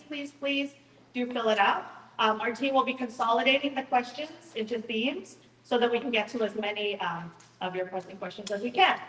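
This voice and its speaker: A woman moderating a town meeting, speaking loudly and directly with a light joking touch. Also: fairly high pitch, a little hiss or hum. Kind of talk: event moderation. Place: large hall at a town meeting. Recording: echoey hall, voice from far off.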